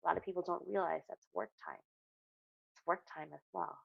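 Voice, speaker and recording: A woman's voice: fairly low pitch, sing-song, fairly quick, vocal fry, no echo, dull audio. A middle-aged American woman speaking earnestly as an interview guest, explaining things from her own experience and repeating phrases for emphasis. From Connecticut, United States. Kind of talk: conversational interview, sharing personal experience.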